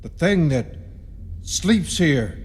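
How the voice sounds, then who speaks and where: A man's voice: a little high, big pitch swings, very slow, breathy edge, exaggerated voice. A man playing an ominous rural caretaker in a radio horror play, giving grim, cryptic warnings in folksy country grammar. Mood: grimly foreboding. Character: ominous rustic caretaker, grimly warning intruders. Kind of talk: radio horror drama acting. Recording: long reverb with music behind.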